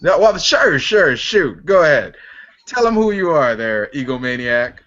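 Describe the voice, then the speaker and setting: A man's voice: fairly high pitch, big pitch swings, a little slow, fairly bright tone. A man hosting a casual, profane panel chat as a podcast host. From Cameroon. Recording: dull lo-fi audio with clicks and noise.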